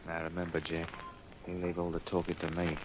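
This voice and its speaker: An actor playing a truculent Australian housebreaker and ringleader in an old radio crime drama, curt, slangy and bullying. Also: male voice, deep voice, monotone, a little fast, noisy background, close to an everyday voice. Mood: low, menacing. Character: cocky, truculent male housebreaker, ringleader with a gun.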